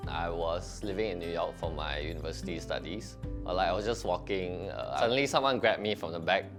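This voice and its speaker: Deep calm man. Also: a little fast, music in the background. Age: 30s. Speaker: sub-editor. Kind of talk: interview confession.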